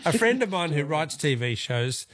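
Chatty Australian man hosting, higher-pitched voice. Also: sing-song, fairly bright tone, dry recording, studio quality. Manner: chatty, jokey, quick to chuckle. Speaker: radio quiz host. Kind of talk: live phone-in quiz chat.

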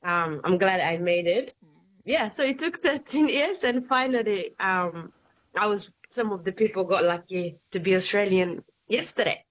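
A woman's voice: mid-range voice, fairly bright tone. Woman, from Somalia, poet guest, hesitant fillers, slightly dull recording. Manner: hesitant, many fillers, laughs often. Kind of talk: casual interview chat.

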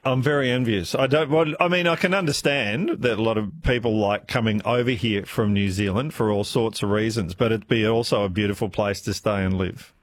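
A man hosting a talkback radio quiz, chatty and playful and quick to laugh, taking callers in a studio. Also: on the low side, no echo. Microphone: studio microphone.

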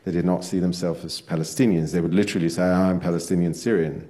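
A man speaking as a guest on a panel, halting and full of fillers and restarts. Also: deep voice, fairly even intonation, dark tone. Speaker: author guest. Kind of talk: panel discussion. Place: on stage before an audience.

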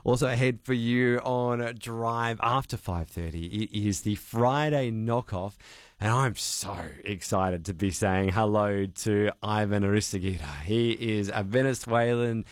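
Brief: upbeat male radio host, lowish voice, close dry studio mic. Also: fairly even intonation, a little slow, no echo. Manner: upbeat and chatty, with many "uh" fillers. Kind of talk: casual radio chat. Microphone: studio microphone.

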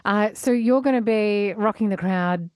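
A woman's voice: mid-range voice, normal speed, darkish tone, no echo. Bubbly woman radio presenter. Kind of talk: casual radio chat.

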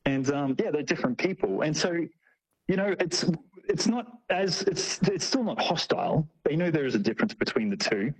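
A man's voice: a little high, big pitch swings, normal speed, husky, low-quality recording. A man speaking as an interview guest, explaining and telling anecdotes in an informal, slangy way and acting out short quotes. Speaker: interview guest, tour operator. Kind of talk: casual conversational anecdotes and explanation.

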